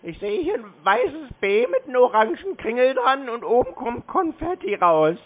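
A person talking slowly and naively on a phone call, sounding confused, literal and repetitive. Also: mid-range voice, sing-song. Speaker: prank callee stringing along a scam caller. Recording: muffled, band-limited phone call.

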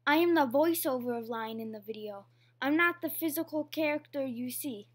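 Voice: high-pitched, moderate pace. A young vlog host speaking in a playful, scripted skit style. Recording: noisy home camera audio.

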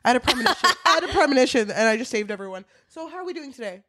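A woman with a fairly high voice co-hosts a casual bookish podcast. She is playful and swears freely, acting out bits as she retells them. The sound is close and dry. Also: very animated, very fast, fairly bright tone, no echo. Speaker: podcast co-host. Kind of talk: casual chat about books.

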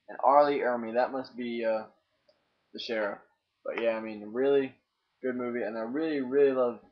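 A young man talks casually into a webcam in a small room. His delivery is hesitant and rambling, and he often repeats himself as he reviews. The audio is dull and lo-fi. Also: mid-range voice. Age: young adult, about 18. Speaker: amateur DVD reviewer. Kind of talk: casual, unscripted review vlog to camera. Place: small room indoors with posters.

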